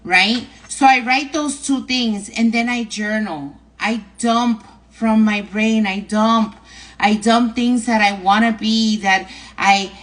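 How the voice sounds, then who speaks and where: A woman's voice: fairly high pitch, fairly even intonation, takes it slowly, a bit bright. A middle-aged woman from New York with a New York accent, chatting casually as she hosts a live stream of advice, often tagging her sentences with "right?" and "okay?". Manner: chatty, repetitive, tags lines with "right?" and "okay?". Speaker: host.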